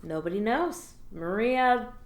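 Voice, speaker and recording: Woman playing a brisk veteran alien coworker. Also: medium pitch, animated. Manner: brisk, matter-of-fact, teasing. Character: seasoned alien coworker, dry and bossy. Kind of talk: audio drama acting. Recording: roomy echo.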